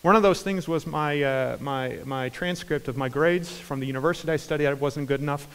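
A man in his forties with an American accent gives an energetic conference talk on stage, with frequent fillers and restarts. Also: a little high, brisk, clear, resonant tone. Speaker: conference speaker. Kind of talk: keynote talk. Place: large conference hall stage. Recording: hall reverb from a large room.

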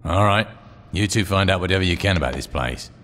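Low-voiced, blunt gang boss. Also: male voice, animated, fairly quick, fairly bright tone. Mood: commanding. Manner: blunt, terse, unfazed, commanding. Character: tough, vengeful male street gang boss. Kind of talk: video game cutscene acting.

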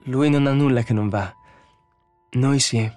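A man's voice: medium pitch, measured pace, darkish tone, faint music behind, pitched above the performer's own voice, close to an everyday voice. A man voicing a tense, sarcastic woman robot psychologist with an agitated, emphatic delivery in an audiobook story. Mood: furious.

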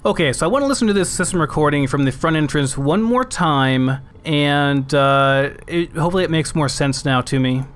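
A man's voice: fairly high pitch, normal speed, hardly any pauses, fairly bright tone. A man giving casual let's play commentary, thinking aloud as he plays.

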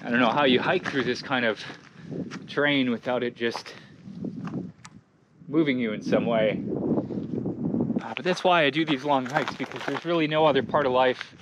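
A man in his forties speaks to an action camera held at arm's length as he hikes through open desert. His voice is mid-pitched, and he talks in an unhurried, musing way, often pausing partway through a thought. Clear outdoor noise stays audible behind him. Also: lo-fi sound. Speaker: thru-hiker vlogger. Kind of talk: reflective trail vlog.

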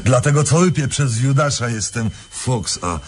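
An actor playing a cheeky, boastful small-time crook in a radio drama, jokey and theatrical. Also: male voice, medium pitch, animated, normal speed, a little hiss or hum, close to an everyday voice. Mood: cocky, joking. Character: cheeky, boastful male small-time convict, loyal to pals.

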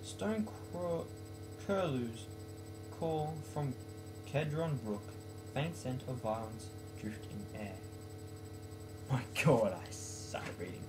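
A man's voice: mid-range voice, takes it slowly, pauses often, music in the background, poor audio. A young man talks in a casual, homemade student style, as if at his desk in a bedroom. His voice sits in an ordinary male register and moves between loose chat and reading aloud. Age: late teens to young adult. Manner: self-mocking, jokey. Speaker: student vlogger. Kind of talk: casual talk and poem reading. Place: small bedroom, indoors.

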